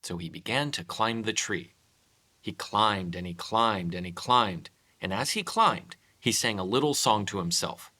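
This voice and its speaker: A man reading a children's story aloud in an expressive scripted narration. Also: lowish voice, a little slow. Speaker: storyteller, host.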